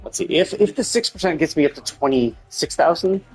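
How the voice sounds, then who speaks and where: A man streaming a game live, talking casually and haltingly as he works through numbers to himself. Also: fairly high pitch, expressive, husky, faint music behind, low-quality recording. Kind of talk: casual gaming stream commentary, thinking aloud.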